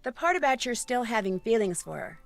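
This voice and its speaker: A woman's voice: fairly high pitch, sing-song, fairly quick, gravelly, slight background noise. An actress playing an inquisitive, composed young female detective in a video game. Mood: curious, probing.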